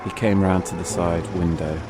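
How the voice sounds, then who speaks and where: A man narrating a dramatic first-person travel story in measured, suspenseful short phrases, as a storytelling podcast host. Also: sits low, fairly even intonation, loud music behind the voice.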